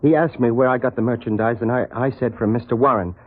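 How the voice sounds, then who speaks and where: An actor in a 1940s radio drama playing a flustered accused shopkeeper, hesitant and stammering, on a muffled old recording. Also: male voice, mid-range voice, steady tone, quick pace, natural voice. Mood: anxious, flustered. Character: flustered accused shopkeeper on trial, anxious to explain.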